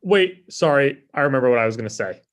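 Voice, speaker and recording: A man's voice: medium pitch, lively intonation, quick pace, lightly acted. An actor playing a laid-back, privileged young man who talks casually and self-absorbedly in a comedy table read. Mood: casual, oblivious.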